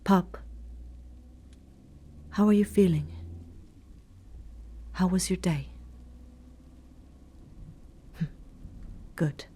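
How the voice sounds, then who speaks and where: A woman playing a guarded, caring doctor in an audio drama, breathy and hushed, gentle but firm. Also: fairly low pitch, very slow, full character voice. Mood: fond, firm. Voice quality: breathy, husky.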